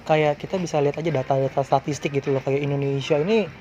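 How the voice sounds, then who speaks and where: A man in his twenties answering interview questions in a relaxed, casual way, with loose slang. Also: fairly high pitch, fast, a little hiss or hum. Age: 20s.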